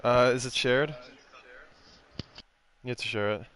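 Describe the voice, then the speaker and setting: A man's voice: medium pitch, a little slow, a bit bright. A man hosting a post-race sim racing stream, chatting casually with drivers and joking crudely. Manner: joking, laughing, crude banter.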